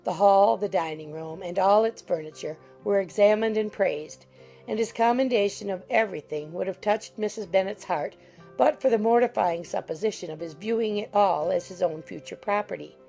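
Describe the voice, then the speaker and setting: A woman's voice: medium pitch, normal speed, creaky, mellow, faint music behind, poor audio. A woman narrating a classic novel as a scripted audiobook.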